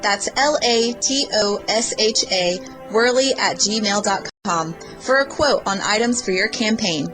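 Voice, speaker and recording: Woman, medium pitch, scripted advert read, promotional announcer, clear music bed. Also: measured pace, hardly any pauses. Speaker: advertiser, graphic designer.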